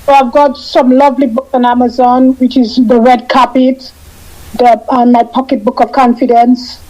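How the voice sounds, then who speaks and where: High-voiced woman with a mellow tone. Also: flat delivery, lo-fi sound. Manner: halting, repeats and restarts phrases. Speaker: guest. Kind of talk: casual radio interview chat.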